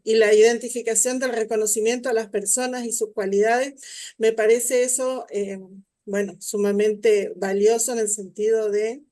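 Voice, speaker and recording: A woman moderates an academic session over a video call. Her mellow, warm voice sits at a middle pitch, and she speaks in halting phrases full of fillers and restarts. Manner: hesitant, many fillers and restarts. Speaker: symposium moderator.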